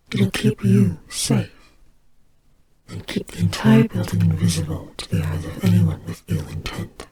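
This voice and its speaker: A woman voicing a gentle, soothing goddess of death in an audio roleplay, speaking softly and breathily with hesitant, reassuring care for a child. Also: lowish voice. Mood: tender, protective. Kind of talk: slow dramatic audio roleplay. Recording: clearly noisy amateur home recording. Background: ambience sound effects.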